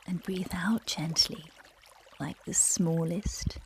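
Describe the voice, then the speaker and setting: Woman, children's meditation storyteller, soothing and breathy, unhurried, creaky low edges, light noise and trickling water behind. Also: steady tone. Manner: gentle, soothing, hushed and breathy, often near whispering. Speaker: meditation guide and bedtime storyteller. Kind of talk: guided relaxation and bedtime story narration for children.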